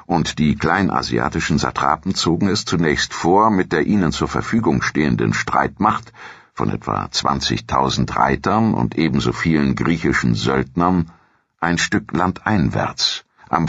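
A man narrating a scripted history documentary in a measured, formal storytelling manner, with a gravelly, husky voice. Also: extremely low pitch, a bit bright, no echo, highs cut off.

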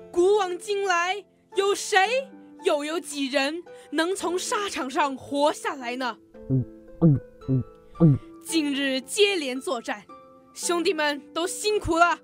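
A teenage girl plays a fearless, hearty ancient army general in a radio drama. The voice is high and the delivery is slow and declamatory. Faint music plays behind. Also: fairly bright tone, exaggerated voice.

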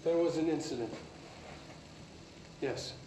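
A man's voice: on the high side, slow, warm tone. An actor playing an eccentric male scientist who rambles and stammers through a lecture, heard from a projected recording. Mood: nervous. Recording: echoey distant projected film with clear noise.

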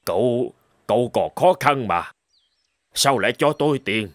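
A man playing a humble, dignified older rich gentleman in a dubbed cartoon, speaking in a measured, gracious way. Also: medium pitch, lively intonation, dry recording, natural voice. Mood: touched. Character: humble, dignified older billionaire posing as a beggar.

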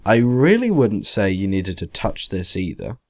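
A man narrating a software tutorial casually, in a rambling, hesitant, self-deprecating way. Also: fairly low pitch, very animated, a little slow. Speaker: tutorial presenter. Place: indoors, screen-recording voice-over.

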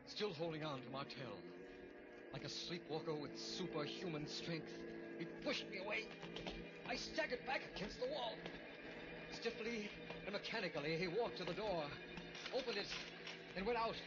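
A shaken young scientist, played by a man, narrating his ordeal in a somber, haunted way. His voice sits a little high, with a dull, old radio-play sound and music running under the narration. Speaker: narrator and lead character. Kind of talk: 1950s radio drama acting.

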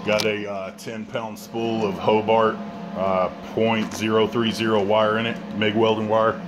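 A relaxed man talks through a welding job in a plain, unhurried way, dropping in "uh" as he goes. His low, fairly flat voice bounces off the bare walls of a garage. The camera mic sits a few feet away and picks up a loud hum behind him. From Texas. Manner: relaxed, plainspoken, lots of "uh" fillers. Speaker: welding hobbyist vlogger. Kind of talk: casual workshop narration. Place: home garage workshop. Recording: echoey garage with loud background noise.

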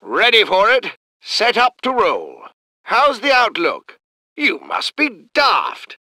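A man's voice: sits high, huge pitch swings, slow pace, sharp tone, no echo, heavily acted. A man voicing a clipped, formal British tank gunner, delivering game unit lines. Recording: dry close studio recording.

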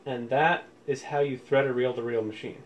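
A man's voice: mid-range voice, fairly even intonation, moderate pace, poor audio. A man hosting a casual how-to demonstration, explaining things informally, step by step. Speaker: tutorial host. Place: indoors, room with audio equipment. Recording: clear background room noise.

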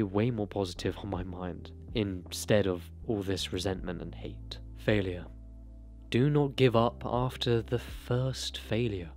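Young male vlogger: earnest, direct motivational delivery, lowish voice, close-miked in a bedroom over soft music. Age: young adult. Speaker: self-improvement YouTuber. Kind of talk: talking-head advice monologue. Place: small bedroom. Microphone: desk broadcast mic close to mouth.

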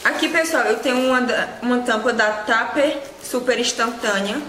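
A woman's voice: a little high, normal speed. A woman, casually presenting products to camera as a vlogger and seller. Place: small indoor room with shelves. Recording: echoey room with loud background noise.

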